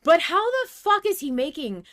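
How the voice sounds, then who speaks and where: A woman co-hosting a podcast, talking casually about a fandom in an animated, emphatic way and swearing freely. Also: very high-pitched, moderate pace. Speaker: podcast co-host. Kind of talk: casual fan discussion.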